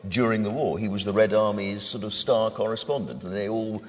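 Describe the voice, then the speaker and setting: A man's voice: lowish voice, fairly even intonation, brisk. A man chairing a literary discussion and putting questions to the panel. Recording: reverberant hall.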